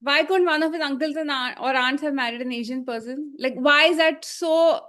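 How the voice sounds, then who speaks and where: A woman with a higher-pitched voice talks casually as a review show co-host. She lays out her opinions emphatically, in a conversational, unscripted way. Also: normal speed, fairly bright tone. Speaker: podcast co-host reviewing a film.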